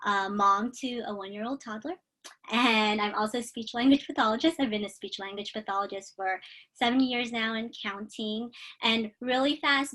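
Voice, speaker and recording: Chatty young woman, frequent pauses. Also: medium pitch, a bit bright, poor audio. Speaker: speech language pathologist, guest. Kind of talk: casual video interview.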